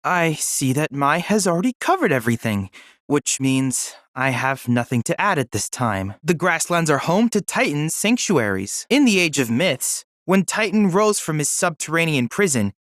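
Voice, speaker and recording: Teenage boy android assistant, polite, eager, very animated pitch, precise formal diction, dry close sound, video game dialogue. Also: moderate pace, dry recording. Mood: put out, then scholarly explaining. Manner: precise, formal, articulate, earnest.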